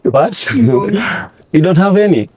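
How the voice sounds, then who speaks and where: A man's voice: sits high, lively intonation, slow pace, a crowd in the background. A young man hosting a casual campus interview, teasing and laughing with his guests. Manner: playful, teasing, laughing often. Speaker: host. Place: university campus.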